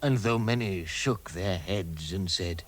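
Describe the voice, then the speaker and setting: A man's voice: deep voice, slow, a little hiss or hum. A man with an English accent giving scripted storybook narration in a vintage radio drama. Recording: vintage radio recording with music.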